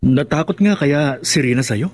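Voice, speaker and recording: A man's voice: medium pitch, lively intonation, moderate pace, a little mellow, natural voice. An actor playing the heroine's earnest male ally, giving warnings in a radio drama. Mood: wary warning.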